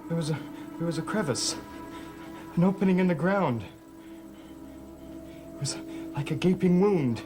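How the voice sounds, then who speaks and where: An actor playing a guilt-ridden, gentle man of a cursed family in a gothic soap opera, stammering through his confessions. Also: fairly high pitch, moderate pace, pauses often, soft background music, natural voice. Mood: frightened, haunted.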